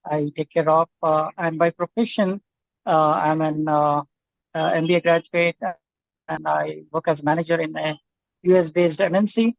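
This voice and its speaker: A woman's voice: low-pitched, fairly even intonation, moderate pace, creaky, dry recording, low-quality recording. A woman from India introducing herself to a group on a video call as a trip chaperone. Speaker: manager, youth trip chaperone. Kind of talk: introducing herself in an online meeting.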